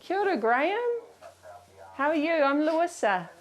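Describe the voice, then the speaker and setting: A woman's voice: high voice, sing-song, measured pace. A woman with a New Zealand accent talking casually and cheerfully on location as a politician. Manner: warm, chatty, cheerful. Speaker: member of parliament.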